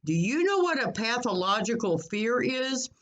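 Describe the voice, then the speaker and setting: A woman voicing a girl's father, a bemused and measured preacher, in a children's storybook. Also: a little high, sing-song. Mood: explaining.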